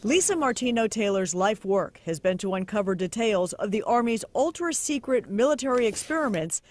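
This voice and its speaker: A woman's voice: medium pitch, expressive, normal speed. A woman with an American accent narrating a scripted investigative television news report.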